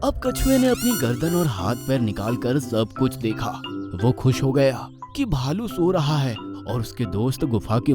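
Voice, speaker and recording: Animated male children's storyteller over music. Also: medium pitch. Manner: expressive, animated. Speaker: storyteller, narrator. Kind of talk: scripted children's story narration. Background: background music.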